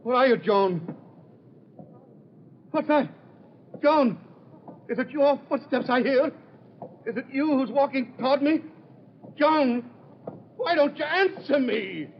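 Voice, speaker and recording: An actor playing a guilty, blustering swindler and nervous bridegroom in an old-time radio horror play, melodramatic and jittery. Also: male voice, very high-pitched, animated, slow, long pauses, exaggerated voice. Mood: panicked terror. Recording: dull, noisy old radio broadcast recording.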